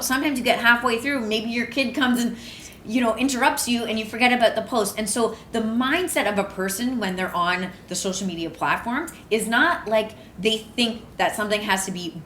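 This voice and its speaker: A woman co-hosting a casual chat show, explaining her points directly and conversationally, step by step. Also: a bit bright. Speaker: podcast co-host. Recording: echoey room with faint rumble.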